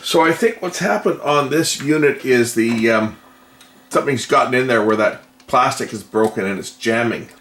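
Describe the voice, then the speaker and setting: A man giving casual hands-on repair commentary, thinking aloud in a tentative, unhurried way. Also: mid-range voice, a bit bright. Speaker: repair vlogger. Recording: noticeable background noise.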